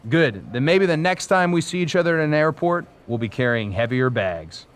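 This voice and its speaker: An actor playing a competitive, self-assured wrestler with an assertive, earnest manner in a video game cutscene. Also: male voice, fairly high pitch, very animated, normal speed. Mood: irritated.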